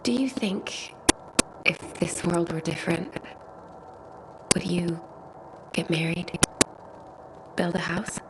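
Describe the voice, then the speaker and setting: An actress playing a shy, dreamy, bookish young woman who speaks softly and wistfully, often half-whispering. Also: on the low side, slow pace, pauses often, very noisy.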